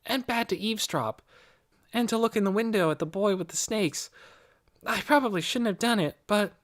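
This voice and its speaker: A man's voice: sits high, moderate pace, a bit bright, pitched above the performer's own voice. A man voicing an eager, earnest young girl in an audiobook, breathless and keen to please. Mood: uneasy, confessing. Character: eager, earnest young girl confessing what she saw. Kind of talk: audiobook character voice.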